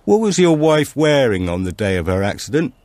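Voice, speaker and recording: Man acting grave, deliberate old man. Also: medium pitch, big pitch swings, darkish tone, dry recording, voice pushed up, lightly acted. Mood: quietly insistent. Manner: slow, deliberate warnings.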